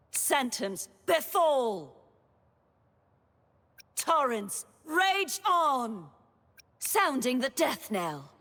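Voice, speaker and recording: A woman's voice: fairly high pitch, slightly rough, long reverb tail, exaggerated voice. An actress playing a noble, solemn young woman knight in a video game, with formal, dramatic delivery. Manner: formal, dramatic, archaic phrasing. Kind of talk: scripted video game character voice lines.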